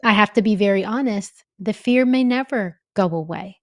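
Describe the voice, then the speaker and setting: A woman's voice: medium pitch, normal speed, no room sound. Earnest teacherly woman, dry sound. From born in Costa Rica, raised in New Jersey. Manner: earnest, emphatic teacherly explaining. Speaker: guest, professor teaching on-camera confidence. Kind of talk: interview answers.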